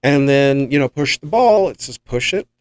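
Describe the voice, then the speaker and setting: A man's voice: mid-range voice, animated, moderate pace, clean tone, dry recording. A man hosting a podcast, telling rambling, enthusiastic anecdotes in a casual chat. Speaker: podcast host and paranormal investigator.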